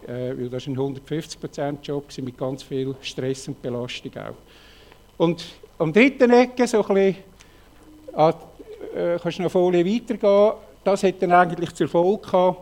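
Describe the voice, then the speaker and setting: A man in his late fifties with a Swiss German accent, frankly telling his own story in a talk, halting often with fillers. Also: on the high side, darkish tone. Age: 50s. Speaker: speaker giving a personal testimony. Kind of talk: personal testimony talk with slides.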